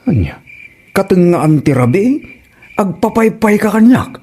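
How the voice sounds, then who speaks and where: A man's voice: high-pitched, very animated, a little slow, darkish tone, slightly rough, exaggerated voice. A man acting a grumbling, put-upon village husband with an Ilocano Filipino accent and an exasperated, scolding manner in a comedy drama.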